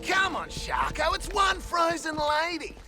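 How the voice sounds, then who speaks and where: A man playing a brash, cocky villain in a video game, shouty and flippant. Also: extremely high pitch, big pitch swings, a little slow, over loud music, full character voice. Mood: mocking. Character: brash, cocky male villain, loud and mocking. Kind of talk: video game cutscene acting.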